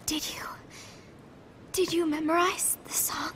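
A woman's voice: extremely high pitch, steady tone, a bit bright. A woman voicing an eerie, refined, theatrical character in a video game cutscene. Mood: rapturous. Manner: slow, theatrical. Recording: clear background noise.

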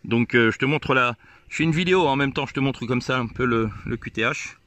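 A man's voice: medium pitch, a little fast, fairly bright tone, no echo. A man chatting casually on amateur radio and showing his gear outdoors, explaining it hesitantly step by step. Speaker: amateur radio operator filming a video. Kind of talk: casual radio chat and hands-on demonstration. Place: outdoors on a hilltop field.

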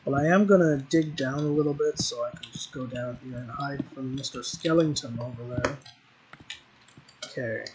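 A man's voice: medium pitch, moderate pace. Chatty man with clicky background noise. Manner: chatty, rambling, frequent ums and restarts. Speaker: gaming video creator. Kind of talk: casual gameplay commentary. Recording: noisy home recording with mouse clicks.

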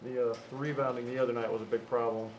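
A man's voice: medium pitch, level tone, quick pace, lo-fi sound, slight background noise. A male reporter asking casual, rambling questions at a press conference.

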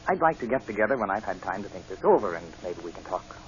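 An actor playing a smug, sinister gentleman murderer in an old radio drama, falsely genial and taunting, with stammered starts. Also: fairly low pitch, big pitch swings, fast. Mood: testy, controlled. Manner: taunting, mock-polite, hesitant stammering starts. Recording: dull, muffled old radio broadcast.

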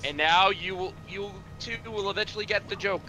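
A man's voice: sits high, fairly even intonation, a little fast, poor audio. A man chatting casually over gameplay with dry, grumbling humour. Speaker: amateur voice actor in a game dub.

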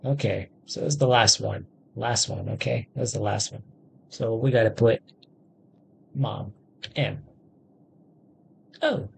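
A man in his thirties talks through a game in a casual, halting way. His voice is creaky and slow, as if thinking aloud while he types. There is faint noise and game ambience behind him. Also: lowish voice, darkish tone. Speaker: gaming video host. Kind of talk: casual let's-play commentary. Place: indoors at a computer.

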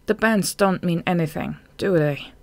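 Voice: medium pitch, moderate pace, vocal fry, dry recording, close to an everyday voice. Young female bat for a storybook acted by a narrator: practical, distrustful, savage under dull mutters, dry close sound. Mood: bitter, savage.

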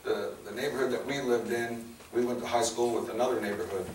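A man's voice: medium pitch, steady tone, fast talker. Chicago-accented man in his forties, earnest folksy testimony, recorded from afar in a noisy, echoing church hall. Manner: casual, plainspoken, earnest, folksy. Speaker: guest speaker sharing testimony. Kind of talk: personal testimony to a church crowd. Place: large hall with stage, indoors. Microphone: handheld or lapel mic, filmed by a far camera. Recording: roomy hall, distant mic, noisy.

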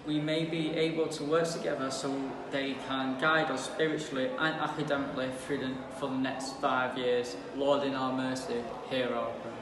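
A man's voice: medium pitch, level tone, moderate pace, few pauses, crowd noise. Teenage boy praying, very echoey. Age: teens. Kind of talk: read-aloud prayer. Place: indoor school room. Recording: very echoey, distant mic, noisy.

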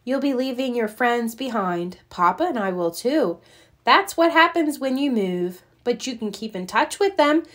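Gentle storybook mother bear, expressive woman reader. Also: fairly high pitch, normal speed. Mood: comforting. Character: gentle, comforting mother bear, adult female. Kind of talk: children's storybook read-aloud.

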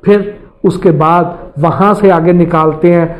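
A man's voice: on the high side, steady tone, unhurried, dull audio. A middle-aged man from Pakistan, presenting from a studio desk and explaining what the footage shows, step by step. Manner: earnest, explanatory, describing step by step. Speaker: investigative reporter.

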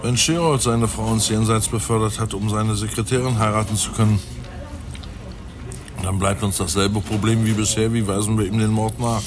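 An actor in a radio play, playing a dry, methodical police detective who reasons in a wry, reflective way. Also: male voice, fairly low pitch, fairly even intonation, normal speed, natural voice. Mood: dry, pondering. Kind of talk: naturalistic German radio play acting.

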